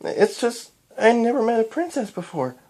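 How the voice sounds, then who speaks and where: A performer voicing an excitable five-year-old girl pony in a squeaky, gushing, eager storybook voice. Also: moderate pace.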